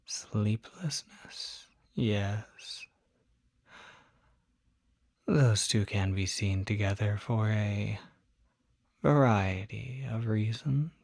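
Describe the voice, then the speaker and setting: Performer as demon doctor, ASMR roleplay, extremely slow, soft and often whispered, husky, attentive, gentle bedside manner. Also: mid-range voice, no room sound, clean studio sound, heavily acted. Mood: calm, attentive. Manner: slow, deliberate, gentle bedside questioning with pauses. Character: calm, attentive demon doctor treating a patient.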